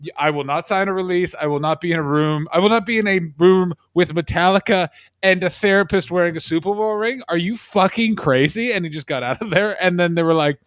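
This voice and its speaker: An excitable man with a high, light voice, talking over friends in loose comic chat. He swears freely and drops into jokey impressions. The recording is close and dry. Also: fairly quick, clean tone, dry recording. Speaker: podcast co-host. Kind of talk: casual comedic chat.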